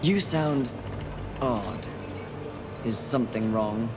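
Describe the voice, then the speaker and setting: A woman's voice: extremely low pitch, slow. An actress playing a fierce, sardonic female warrior in a video game, with clipped, imperious delivery. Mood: wary, sardonic. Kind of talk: dramatic video game voice acting. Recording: loud game music behind.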